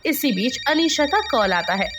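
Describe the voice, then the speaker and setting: A woman's voice: high-pitched, sing-song, normal speed. A woman narrating a scripted story as its storyteller.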